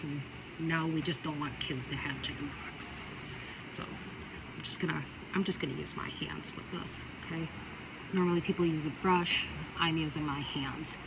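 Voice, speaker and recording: A woman talking casually through a budget makeup tutorial, chatty and rambling with repeated warnings. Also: deep voice. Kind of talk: casual how-to chat. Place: home, indoors by a window. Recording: loud vehicle noise behind.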